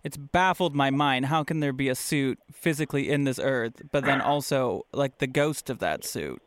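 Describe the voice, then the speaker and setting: A man hosting a podcast, chatting casually and informally with animated reactions. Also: mid-range voice, moderate pace, dry recording. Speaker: podcast host. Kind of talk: casual interview chat.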